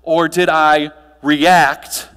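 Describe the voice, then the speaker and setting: An American man in his thirties giving a motivational conference keynote, projected and emphatic, with deliberate pauses and rhetorical questions. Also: fairly high pitch, level tone, a bit bright, clear, resonant tone. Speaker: keynote speaker and trainer. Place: large hotel ballroom stage. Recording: long hall reverb from ballroom PA.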